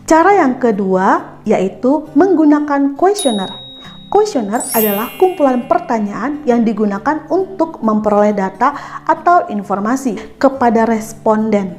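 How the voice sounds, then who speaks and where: High-voiced woman teaching over music. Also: lively intonation, measured pace, darkish tone. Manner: instructive, addresses pupils directly with check-in questions. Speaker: teacher presenting an educational video. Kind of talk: explanatory school lesson narration.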